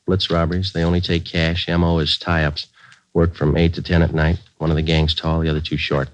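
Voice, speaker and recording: A man's voice: a bass voice, flat delivery, fairly quick. An American man playing a laconic, businesslike detective sergeant in a 1940s radio crime drama, speaking in clipped, matter-of-fact lines. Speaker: narrator and lead actor. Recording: dull vintage radio recording with music.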